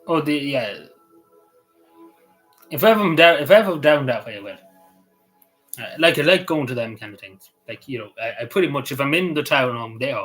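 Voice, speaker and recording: A man's voice: mid-range voice, lively intonation, moderate pace, pauses often, a bit bright, soft background music, rough recording. An Irish man chatting casually on a livestream, answering his viewers in a rambling way, full of "you know" and restarts. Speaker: YouTuber answering live chat.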